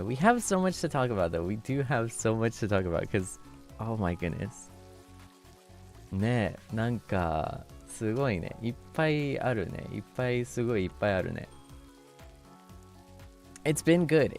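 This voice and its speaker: Lively young man, gravelly chill voice. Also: fairly quick, faint music behind. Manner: laughing often, thanking viewers warmly, mixing in Japanese words; shifts between low chill voice and high voice. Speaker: streamer. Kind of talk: casual chat with viewers.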